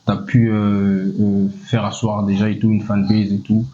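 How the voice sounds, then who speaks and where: A male interviewer asks casual, rambling questions, padding them with "et tout" and "tu vois". His voice is mellow and warm. The recording sounds slightly muffled and lo-fi. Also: on the low side, completely flat delivery, a little slow. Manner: loose, filler-heavy questions with "et tout". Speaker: interviewer, show host. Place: Casablanca.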